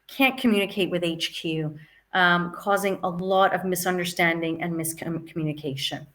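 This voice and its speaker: A woman's voice: medium pitch, a bit bright. A woman hosting an advice video as a business advisor, speaking to viewers in an instructive, emphatic way. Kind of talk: scripted-style advisory talk to camera.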